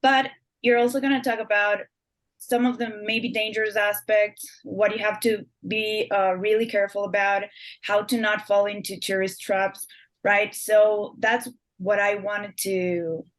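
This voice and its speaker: A woman's voice: medium pitch, level tone, measured pace, poor audio. A young woman from Ecuador, a guest author answering interview questions in a chatty way, with many fillers and restarted words.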